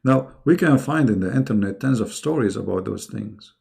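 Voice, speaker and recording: Man, middle-aged, second-language English, mocking debate host, fry in the voice. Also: lowish voice, animated, normal speed, darkish tone. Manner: mocking, sarcastic, emphatic. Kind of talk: live online debate, addressing callers.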